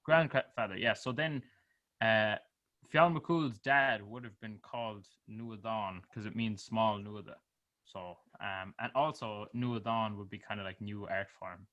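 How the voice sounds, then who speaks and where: A man with an Irish accent, a band member talking informally on a video call, often trailing off softly. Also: fairly low pitch, a little slow, highs cut off. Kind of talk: casual interview chat.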